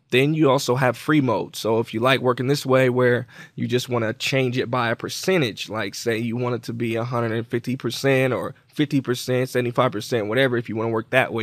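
A man with a mid-pitched voice walks through software in a casual tutorial style. He talks informally and explains each step as he goes. The voice-over is close and dry, with very little room sound. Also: dry recording. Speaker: tutorial presenter.

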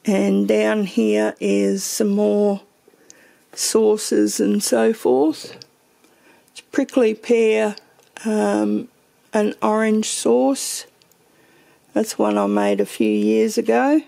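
A woman's voice: mid-range voice, extremely slow, gravelly, pauses often, warm tone. A woman giving a casual, meandering tour of her home pantry, thinking aloud as she films her shelves. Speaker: homesteading vlogger.

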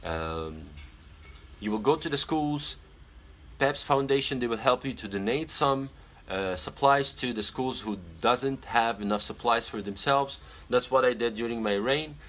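A young man whose first language is Arabic, chatting casually on a phone live stream from his bedroom. He reads out viewer comments and gives earnest advice, pausing often on fillers. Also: medium pitch, a bit bright. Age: young adult. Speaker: pageant titleholder on a live stream. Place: small bedroom indoors. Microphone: phone camera at arm's length.

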